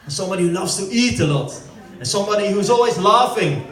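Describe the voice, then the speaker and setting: A man's voice: high voice, animated, fast talker, fairly bright tone. A man from the Netherlands with a Dutch accent, doing chatty, rambling stand-up at a family party and laughing often. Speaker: stand-up comedian. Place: former football club canteen. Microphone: corded handheld mic. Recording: echoey hall from a distance with crowd.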